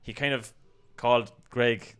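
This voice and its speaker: An Irish man co-hosts a casual online discussion. His voice is smooth and clear, sitting in a middle register. He talks conversationally, qualifying his points with small asides. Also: medium pitch, steady tone, a little slow. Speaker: co-host of a video channel.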